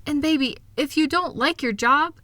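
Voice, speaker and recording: A woman playing a loving, reassuring wife in an intimate comfort roleplay, speaking in a gentle, soothing, affectionate way. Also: high-pitched, normal speed, no room sound. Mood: tender, reassuring. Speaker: audio roleplay voice performer.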